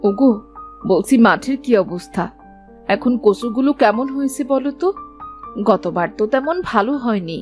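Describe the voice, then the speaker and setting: A woman's voice: fairly high pitch, animated, normal speed, long pauses, a little mellow, faint music behind, close to an everyday voice. A woman playing a poor farmer's wife and mother in a cartoon moral tale, earnest, pious and plaintive. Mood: caring, curious. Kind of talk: animated moral-tale dialogue.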